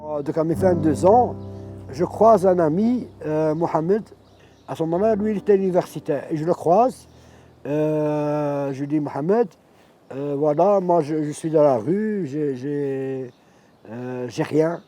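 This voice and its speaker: A man in his fifties from Morocco, an Arabic speaker, telling his life story in a reflective, halting way. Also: on the high side, dark tone, faint music behind. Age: 50s. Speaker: interviewee. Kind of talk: personal life testimony.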